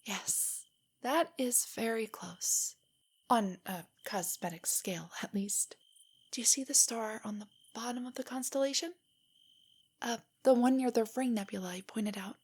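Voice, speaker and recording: A young woman playing a nerdy, nervous, tender girlfriend in an intimate stargazing roleplay audio, speaking softly and often near a whisper as she gently rambles. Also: fairly high pitch, unhurried, slightly rough. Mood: gently enthusiastic. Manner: rambling, gently explaining, hesitant. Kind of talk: intimate roleplay audio, scripted to sound spontaneous.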